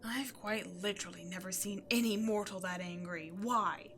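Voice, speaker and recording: A woman playing an imperious, scheming demon queen in a dramatic audio roleplay, seductive and easily exasperated, moving between outbursts and breathy near-whispers. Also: mid-range voice, normal speed, background noise, natural voice. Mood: puzzled, dawning realization. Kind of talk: scripted audio roleplay, theatrical monologue to the listener.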